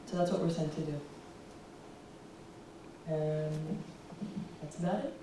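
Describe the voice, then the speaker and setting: A young American woman giving a relaxed public talk with slides, often pausing with "um". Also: sits low. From United States. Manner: relaxed, fills pauses with ums. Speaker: guest speaker. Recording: roomy echo, distant, faint hiss.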